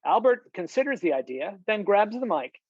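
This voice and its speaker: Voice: medium pitch, big pitch swings, normal speed, slight background noise. A narrator reading screenplay stage directions in a scripted style over a video call. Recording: slightly dull video call audio.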